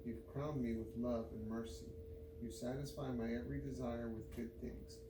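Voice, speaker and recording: A man's voice: medium pitch, steady tone, moderate pace. A man reading a psalm aloud as a scripture reader. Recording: roomy, distant mic, clear background noise.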